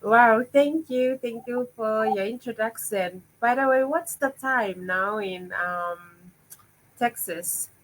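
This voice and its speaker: A woman's voice: fairly high pitch, slow, a bit bright. A woman from Papua New Guinea hosting a live online chat over a video call, with hesitant fillers. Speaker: host. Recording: noisy video call audio.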